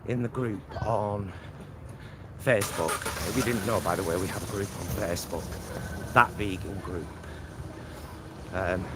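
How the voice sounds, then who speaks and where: A man's voice: low-pitched, fairly even intonation, a little slow. A man chatting casually in a rambling walking vlog, thinking aloud as he films himself outdoors. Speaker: vlogger. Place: outdoors, walking near a supermarket and roads. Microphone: handheld camera in selfie mode. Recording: loud outdoor street and traffic noise.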